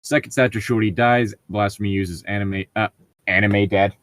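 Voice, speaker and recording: Man, lowish pitch, dry close sound, casual co-host, halting delivery, story reading and chat. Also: steady tone, a bit bright, no room sound. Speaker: co-host reading online stories.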